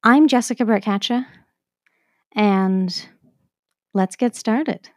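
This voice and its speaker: A woman's voice: mid-range voice, takes it slowly, darkish tone. A woman, around her thirties, narrating a scripted podcast as its host in a wry, self-deprecating way.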